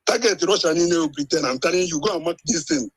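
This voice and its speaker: A Nigerian man talks fast in a high, slightly husky voice, pressing his argument and tossing in quick checks for agreement. The sound is dry and close, heard over a call mic. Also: dry recording. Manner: insistent, keeps checking the listener with "eh?". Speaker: guest on a live stream. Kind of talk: casual chat mixing Igbo and English.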